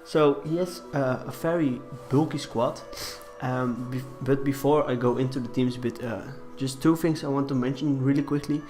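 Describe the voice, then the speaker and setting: A young man with a cold, talking quietly and hesitantly as he commentates on a game, with many fillers. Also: medium pitch, fairly even intonation, soft background music. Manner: deliberately quiet, subdued delivery. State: has a cold. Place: room in a shared flat.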